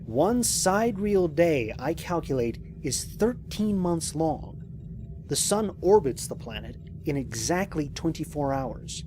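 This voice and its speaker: A man playing a lone spaceship commander and scientist in an audio drama, recording mission logs in a measured, reflective, precise way. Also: fairly high pitch, very animated, background noise. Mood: puzzled, clinical. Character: lone male spaceship commander, thoughtful scientist, quietly amazed.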